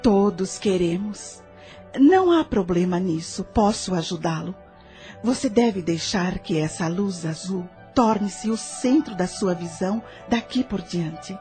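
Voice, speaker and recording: Woman acting kindly spirit guide, Brazilian accent, mellow dark tone, patient, soothing, audio drama, faint music. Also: medium pitch, animated, measured pace, close to an everyday voice. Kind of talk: scripted audio drama dialogue.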